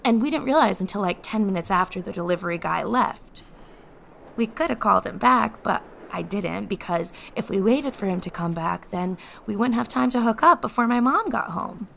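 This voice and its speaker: A woman's voice: mid-range voice, expressive, moderate pace, gravelly, a bit bright, a little hiss or hum. A woman playing a remorseful American teenage girl in a fantasy audio drama, talking casually and hesitantly. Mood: embarrassed, confessing. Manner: casual, hesitant, self-deprecating teen talk. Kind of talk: naturalistic audio drama acting.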